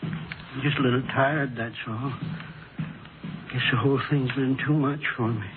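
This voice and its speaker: A man's voice: medium pitch, steady tone, music in the background, lightly acted. Man playing a grieving old widower, thin radio sound. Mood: weary, subdued. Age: older. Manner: halting, plaintive, broken up. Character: grieving elderly widower, dazed, tired and halting. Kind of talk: 1940s-50s radio drama acting. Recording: noisy, phone-like old radio recording.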